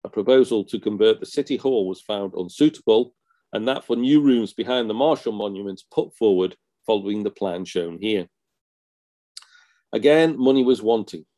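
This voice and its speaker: A man, a museum collections officer, giving a measured, read seminar talk on a local society's history. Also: on the low side, dark tone. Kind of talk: read academic lecture.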